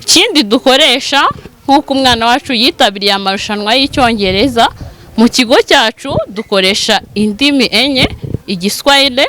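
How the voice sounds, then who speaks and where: A woman's voice: a little high, normal speed, fairly bright tone, slight background noise, poor audio. A woman from a school, steadily explaining its teaching methods in an interview. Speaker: school administrator. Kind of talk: interview statement.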